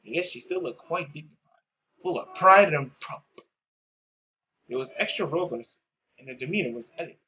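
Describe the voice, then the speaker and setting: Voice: medium pitch, expressive, moderate pace, pauses often, pushed and bright, lo-fi sound, a little hiss or hum. A playful, theatrical narrator reading a story aloud, stumbling now and then and dropping in joking asides. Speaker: let's play narrator reading a visual novel.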